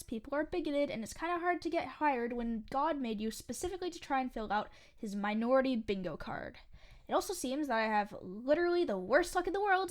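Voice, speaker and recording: Woman playing a high-voiced, snippy teenage waitress. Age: young adult. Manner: snippy, sardonic, swearing. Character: sarcastic, grumbling eighteen-year-old waitress, reluctant podcast guest. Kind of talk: audio fiction podcast acting, conversational.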